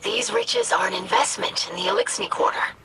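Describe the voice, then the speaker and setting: A woman's voice: very high voice, wildly swooping pitch, brisk, gravelly, exaggerated voice, low-quality recording. An actress playing a principled young female alien scholar, formal and precise, in a video game cutscene. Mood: earnest, resolute.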